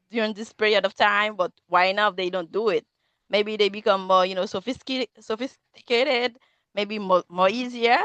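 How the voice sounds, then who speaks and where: A Haitian-accented woman in her thirties, a native Haitian Creole speaker, chats over a video call with a smooth, clear voice. She rambles, laughs often and leans on fillers. The sound is dry and close. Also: medium pitch, no room sound. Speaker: student participant. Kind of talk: casual conversation club answer.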